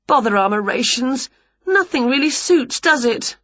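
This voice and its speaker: A woman voicing an excitable, daft storybook witch who grumbles and exclaims in colloquial turns of phrase. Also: high voice, normal speed, no echo, highs cut off, pitched above the performer's own voice. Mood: flustered. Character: excitable scatty witch with skinny legs, daft and cheeky.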